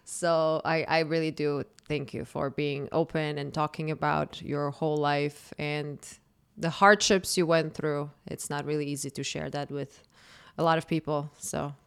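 A woman in her thirties from Croatia, speaking English. Her voice sits low and sounds smooth and resonant. She tells long stories in a relaxed podcast conversation and laughs often. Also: measured pace. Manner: animated, laughs often, long storytelling turns. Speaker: podcast host and dating content creator.

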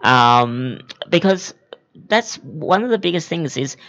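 A podcast host chatting casually with a guest, rambling and restarting sentences. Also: slow pace. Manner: rambling, restarts, lively pitch. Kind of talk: casual chat. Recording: dull lo-fi podcast audio.

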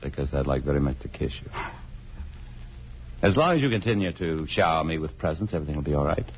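A man's voice: a bass voice, expressive, fast talker. An actor playing a charming, playful married man in love, suave and teasing in an old radio drama style. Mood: playful, romantic. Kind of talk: 1950s radio drama acting. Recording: muffled, noisy old radio broadcast.

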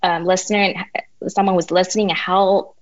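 Reflective woman, halting, low-pitched, dry. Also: little pitch movement, dry recording, muffled recording. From Taiwan. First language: Mandarin. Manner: reflective, halting with many restarts and fillers. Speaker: podcast guest. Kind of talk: casual interview.

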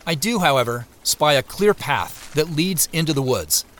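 A man narrating an audio drama as a reflective searcher, measured, descriptive and understated. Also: a little high, expressive, a bit bright, a little hiss or hum. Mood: observant, measured. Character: reflective first-person narrator describing his woodland search.

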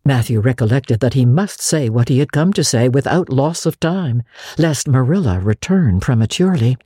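Female audiobook narrator: deep-toned, slightly raspy, lively and dramatic in delivery, for classic literature. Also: normal speed, no room sound, clean studio sound.

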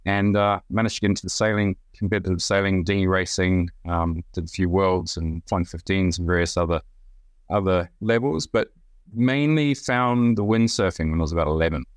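A middle-aged man with a New Zealand accent speaks in a deep voice. He talks as a relaxed podcast guest, with a lot of fillers and restarts. The recording is dry and close. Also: moderate pace, clean tone, no room sound. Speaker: guest, brand director. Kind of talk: casual podcast interview.

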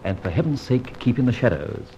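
An Australian actor playing a suave, playful gentleman thief in a 1950s radio drama, brisk and theatrical. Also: medium pitch, big pitch swings. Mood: scheming, playful. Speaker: lead character. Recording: dull old radio recording, faint hiss.

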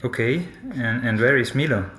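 A man's voice: mid-range voice, moderate pace, a bit bright, roomy sound, natural voice. An actor with a German accent playing a curt, suspicious, controlling man in a tense audio drama. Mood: suspicious, firm. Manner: terse, guarded, firm.

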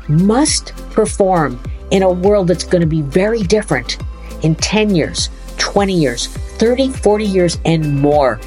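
A woman hosting a podcast, reading a scripted introduction and then chatting, who speaks expressively and slows down to stress key words. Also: on the low side, a little mellow. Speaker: podcast host.

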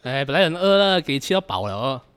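A grumbling, caring Malaysian schoolteacher and boyfriend acted by a man for an amateur audio drama. He talks fast in colloquial banter, with lively swings of a medium-pitched voice. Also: clean tone. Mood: exasperated. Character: tired male teacher, grumbling but caring boyfriend.

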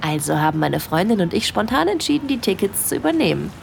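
A woman playing a shy yet bold young cruise guest in an intimate audio drama, speaking softly and hesitantly. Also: medium pitch, big pitch swings, quick pace, gravelly, slight background noise. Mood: shy, amused.

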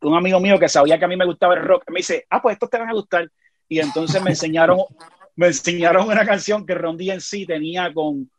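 A man with a Puerto Rican accent, a podcast guest telling animated stories in a casual conversation. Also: high-pitched, quick pace. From Puerto Rico. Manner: animated storyteller, frequent restarts.

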